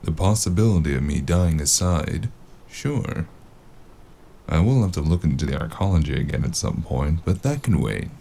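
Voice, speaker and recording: An actor playing a weary, sardonic noir investigator in an audio drama, gravelly and dryly deadpan. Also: male voice, rumbling low, moderate pace, slight background noise, exaggerated voice. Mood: wry. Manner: dry, understated deadpan.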